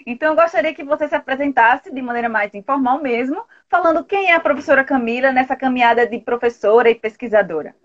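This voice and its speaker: A Brazilian woman with a high-pitched voice hosts an academic live stream. She talks informally and directly to her viewers, sometimes reading from prepared text. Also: fast talker, few pauses, muffled recording.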